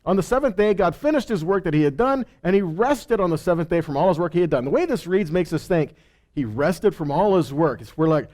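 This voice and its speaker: A man's voice: sits high, lively intonation, a little fast, a little mellow. A man giving an informal sermon as a Bible teacher, emphatic and often checking in with listeners through short rhetorical questions. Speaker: preacher and Torah teacher.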